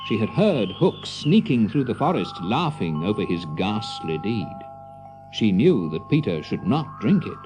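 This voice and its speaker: A man narrating a scripted children's story dramatically, as an expressive, confiding storyteller. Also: on the low side, measured pace, over music.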